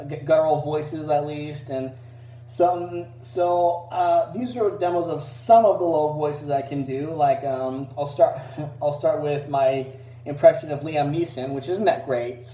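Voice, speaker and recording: A man giving a casual, rambling demo of voices as an amateur impressionist, hesitant and self-deprecating. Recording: roomy, distant, dull low-fidelity mic.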